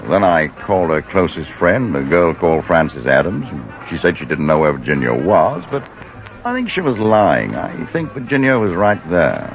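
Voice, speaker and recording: An actor playing an evasive, smooth-talking man in a 1950s radio drama. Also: sits low, sing-song, moderate pace, faint music behind. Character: smooth evasive middle-aged man, host of a party. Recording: muffled old radio broadcast.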